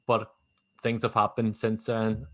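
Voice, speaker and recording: A man's voice: fairly low pitch, monotone, measured pace, a bit bright. A young man with a Northern Irish accent, explaining things in a rambling, casual way and leaning on fillers like "obviously" and "kinda". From Northern Ireland. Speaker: guest. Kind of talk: casual conversation.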